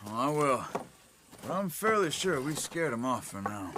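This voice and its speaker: A man's voice: medium pitch, sing-song, normal speed, lightly acted. Man playing a terse gunslinger, noisy background. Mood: calm, resolute. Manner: sparing, matter-of-fact. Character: calm, terse, steadfast gunslinger friend. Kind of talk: video game cutscene acting.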